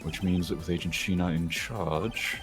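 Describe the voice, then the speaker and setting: A man's voice: low-pitched, fairly even intonation, moderate pace, music in the background, close to an everyday voice. A man voicing a composed, sly prosecutor with dry confidence in a comedic game fan dub. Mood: smug, dry. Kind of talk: fan dub voice acting with improvised banter.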